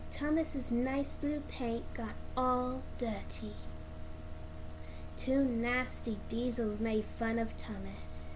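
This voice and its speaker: High-pitched, slow-paced woman narrating a storybook. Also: fairly even intonation. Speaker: read-along narrator. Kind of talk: scripted children's storybook narration. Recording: clearly noisy amateur recording.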